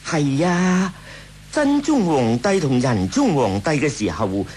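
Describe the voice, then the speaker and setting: A man's voice: fairly high pitch, big pitch swings, darkish tone, slight background noise. A male actor with a Hong Kong Cantonese accent playing an obsequious, scheming court eunuch in a radio drama. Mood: fawning. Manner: obsequious, drawn-out and swooping. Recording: radio drama with faint music.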